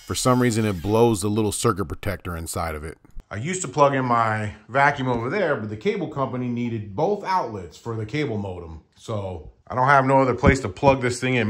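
A man's voice: medium pitch, fairly bright tone. Middle-aged man, mid-range voice, rambling and self-mocking how-to vlogger, clip-on mic in a garage shop. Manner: chatty, rambling and self-deprecating. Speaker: woodworking channel host. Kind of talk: casual how-to vlog talk. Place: small home garage workshop, indoors.

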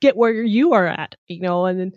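A woman's voice: on the low side, animated, moderate pace, no room sound. A woman hosting an interview, friendly and encouraging toward her guest. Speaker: host. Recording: dull dry lo-fi.

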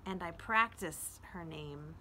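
A middle-aged woman reading poetry aloud expressively with deliberate pauses and casual asides. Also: lowish voice, bright, forceful tone. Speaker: children's librarian reading to an online audience. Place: outdoors on a house front porch. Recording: outdoor traffic noise, street ambience. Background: street traffic.

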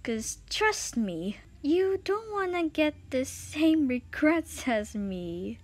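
A woman's voice: sits high, sing-song, slow pace. A young woman narrating a dare video in a dramatic, self-deprecating manner. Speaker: video creator, host.

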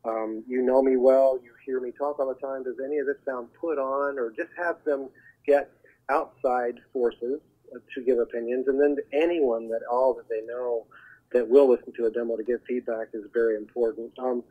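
A husky-voiced man explains things as an interview guest, calling in on a narrowband phone line. He speaks at a middle pitch and often stops to find the right word. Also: steady tone. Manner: halting, searching for words. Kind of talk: interview answers, explanatory advice. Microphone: telephone.

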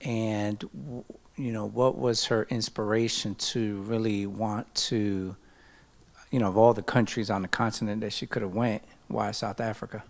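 A man speaks up from the audience in a large hall to ask a question. His voice sits somewhat low, and he pauses with fillers as he speaks. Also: steady tone. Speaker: audience member asking a question.

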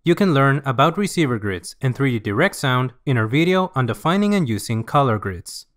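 Male tutorial narrator, mid-pitched, instructional and measured, with varied, lively intonation. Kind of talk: scripted software tutorial narration.

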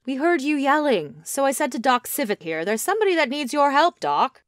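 A large, gossipy female party guest in a literary audio drama. She is tipsy and chatty, with very animated pitch. The recording is dry. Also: fairly quick, no echo.

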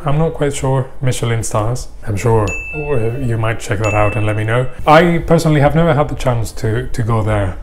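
A young man talking to camera as a vlogger, sharing a personal experience in a measured, articulate and gently emphatic way. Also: medium pitch. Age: young adult. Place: small indoor room or office. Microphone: clip-on lapel mic.

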